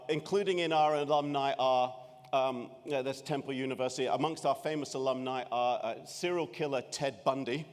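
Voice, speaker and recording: Scottish man, fifties, wry keynote speaker, mid pitch, long hall reverb. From Scotland. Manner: wry, self-deprecating, many restarts. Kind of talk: humorous keynote talk. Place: large conference room.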